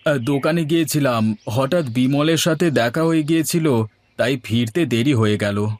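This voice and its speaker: A man's voice: mid-range voice, normal speed, darkish tone, natural voice. A man playing a hard-up, worried middle-aged village father in a cartoon story, talking in a weary, plaintive everyday way. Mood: plain, weary. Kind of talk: cartoon moral-story dialogue.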